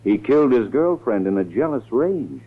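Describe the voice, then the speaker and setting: Scheming male district attorney, polished and ambitious, medium pitch with lively swings, thin vintage radio sound. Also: moderate pace, husky. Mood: feigned worry. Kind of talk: 1940s radio acting. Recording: tinny 1940s radio recording.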